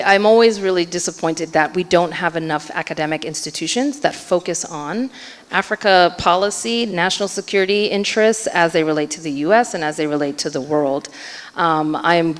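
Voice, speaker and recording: Keynote speaker, woman with a low voice, earnest, filler-heavy delivery, in a hall with long reverb. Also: a little fast. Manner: earnest, hedging with frequent fillers. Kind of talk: conference panel answer. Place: conference hall.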